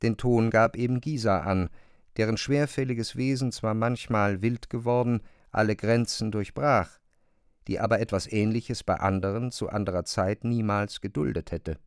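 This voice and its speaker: A man's voice: fairly low pitch, normal speed, clear, resonant tone, no echo, clean studio sound. A man reading literary narration for a radio play in pronunciation.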